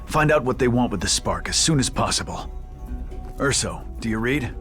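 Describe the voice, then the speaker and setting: An actor voicing a gruff, stoic and defiant rabbit fighter in a video game, terse and sardonic. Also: male voice, mid-range voice, lively intonation, normal speed, a bit bright, soft background music, lightly acted. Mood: serious.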